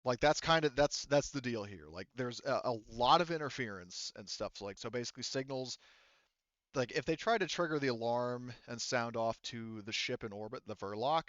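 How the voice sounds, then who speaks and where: A man's voice: mid-range voice, moderate pace, fairly bright tone, no echo, dull audio. A man casually narrating a tabletop game as game master, explaining things in a rambling, informal way.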